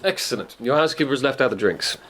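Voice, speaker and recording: A man's voice: medium pitch, lively intonation, fast talker, background noise, natural voice. An actor playing an earnest, anxious and devoted young Englishman in a radio drama, with an English accent. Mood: concerned, dry.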